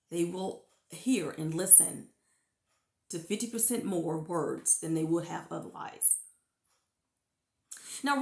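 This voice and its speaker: A woman in her thirties, a former classroom teacher, giving parents earnest, encouraging reading tips and lifting her pitch to stress key points. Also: creaky, echoey room. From United States. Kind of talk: informal teaching talk to parents.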